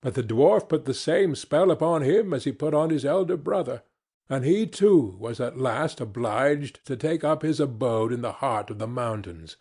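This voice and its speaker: A man narrating a fairy tale as an audiobook reader, in an expressive and measured storytelling manner. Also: medium pitch, breathy edge.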